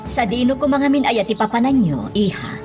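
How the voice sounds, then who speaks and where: A woman's voice: a little high, big pitch swings, a little fast, music in the background. A woman acting a concerned older female figure advising a girl in an Ilocano radio drama. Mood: questioning.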